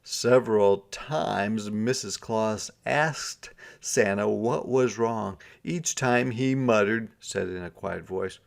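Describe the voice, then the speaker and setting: A man reading a children's storybook aloud as a teacher, deliberately explaining words and events as he goes. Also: lowish voice, vocal fry.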